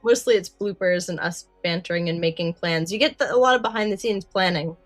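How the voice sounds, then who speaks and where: Low-pitched woman co-hosting a casual podcast. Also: fairly quick, dry recording, faint music behind. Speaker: podcast co-host. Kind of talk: casual discussion of a story.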